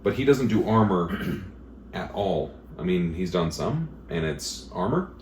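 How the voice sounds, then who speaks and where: Deep-voiced booming blacksmith instructor. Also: male voice, animated, unhurried, darkish tone. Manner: booming, emphatic, explains at length. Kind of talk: casual interview talk. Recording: echoey room with faint noise.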